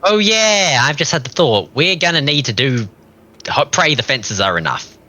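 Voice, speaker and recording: A man's voice: a little high, very animated, moderate pace, bright, forceful tone. A man in casual gaming chat, advising a fellow player as they go.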